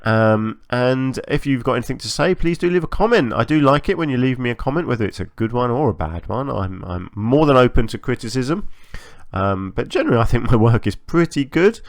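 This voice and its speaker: A man giving casual, chatty commentary as host of a gaming channel, a little hoarse from a long recording session, hesitant and self-effacing. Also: mid-range voice, expressive, fairly quick, no room sound, studio quality. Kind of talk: casual game build commentary.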